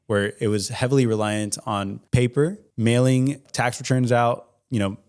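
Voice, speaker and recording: A man in his twenties, an accountant guest in an interview, giving earnest, measured explanations. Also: medium pitch, steady tone, darkish tone. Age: 20s. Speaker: certified public accountant, guest. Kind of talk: interview answers.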